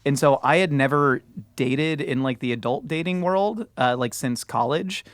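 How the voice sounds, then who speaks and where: A man in his thirties hosting a podcast, telling stories in a lively way with frequent laughs. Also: fairly high pitch, dry recording, clean studio sound. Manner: animated storyteller, laughs often, drawn-out words. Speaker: podcast host. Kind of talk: casual chat.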